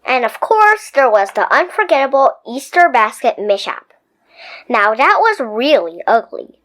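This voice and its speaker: Expressive woman reading a picture book. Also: fairly high pitch, a little slow. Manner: expressive, playful storytelling. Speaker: storybook reader. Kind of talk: children's picture book read-aloud.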